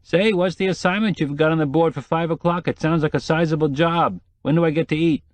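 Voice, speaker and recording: A man's voice: fairly high pitch, fairly even intonation, brisk, no room sound, pitched above the performer's own voice, natural voice. A man voicing a brash, hungry male newspaper photographer who talks in a breezy, blunt way on the phone.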